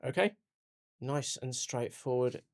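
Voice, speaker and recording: A man's voice: medium pitch, slow pace. A man hosting a podcast, putting questions to a guest in a conversational interviewing style. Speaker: podcast host.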